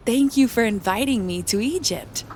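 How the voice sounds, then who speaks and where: An eager, polite little boy voiced by a woman reader from a storybook. The voice is fairly high and earnest, over a noisy recording. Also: moderate pace, darkish tone, close to an everyday voice. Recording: clearly noisy background.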